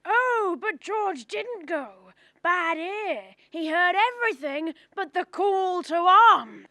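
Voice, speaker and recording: A woman's voice: very high-pitched, lively intonation, takes it slowly, sharp tone, no echo, clean studio sound, full character voice. A young woman playing a scornful, crotchety old rich man in a radio drama, barking and sneering. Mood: scornful, irritable. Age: young adult. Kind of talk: school radio drama acting.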